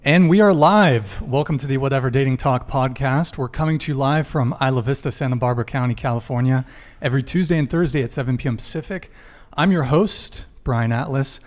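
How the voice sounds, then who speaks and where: A man's voice: medium pitch, fairly quick, hardly any pauses. A young man hosting a live dating podcast, loose and joking as he stumbles through announcements. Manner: loose, joking, stumbles over tech mishaps. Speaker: podcast host. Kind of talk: live stream announcements, casual.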